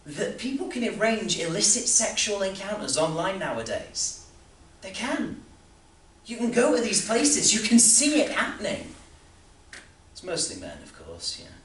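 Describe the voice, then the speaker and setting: A man's voice: mid-range voice, a bit bright. A man playing an overbearing, needling boss in a rambling theatrical monologue full of rhetorical questions. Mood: musing. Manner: rambling, insistent, with rhetorical questions and sudden swells. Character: Elwood, overbearing, needling male boss fretting about the young. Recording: roomy, distant mic, faint background noise.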